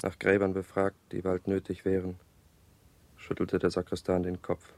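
Bleak young guy in old radio drama, totally monotone, dark voice, very dry sound. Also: low voice, dry recording. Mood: bleak, grave. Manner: measured, flat, grave delivery. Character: doomed young London man, bleak plague-victim narrator. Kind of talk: solemn 1960s German radio play narration.

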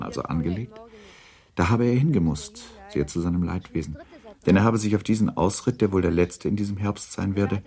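Deep-voiced male radio-drama narrator. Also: mellow.

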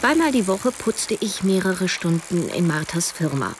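An actress playing a youngish novelist who narrates a radio drama in a measured, wry, literary way. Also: female voice, fairly low pitch, sing-song, background noise. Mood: reflective, wry. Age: young adult. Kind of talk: scripted first-person radio drama narration.

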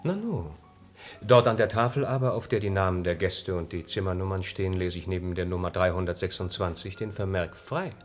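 Man playing a deep-voiced, persistent reporter. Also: brisk, faint music behind, close to an everyday voice. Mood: polite, insistent. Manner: insistent, dryly ironic. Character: persistent, wry male newspaper reporter checking into hotel. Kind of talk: radio crime play acting.

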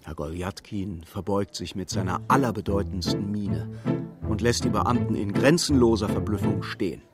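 An actor as the composed storyteller of a radio play, narrating in a measured, matter-of-fact literary style. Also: male voice, lowish voice, loud music behind the voice, close to an everyday voice. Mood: composed, observant. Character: composed male storyteller observing a hapless clerk's misadventures.

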